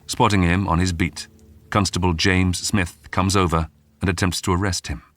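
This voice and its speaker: Very deep male documentary narrator. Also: flat delivery, fairly bright tone, dry recording. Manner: measured, dramatic, suspenseful pauses. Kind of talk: scripted dramatic true-crime narration. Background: background music.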